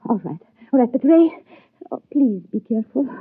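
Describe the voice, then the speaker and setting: Husky, expressive young spacewoman. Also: fairly high pitch, moderate pace, no room sound. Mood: tender, anxious. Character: resourceful, tender young woman space traveler. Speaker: actress. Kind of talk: 1950s radio drama acting. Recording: dry, tinny 1950s radio broadcast.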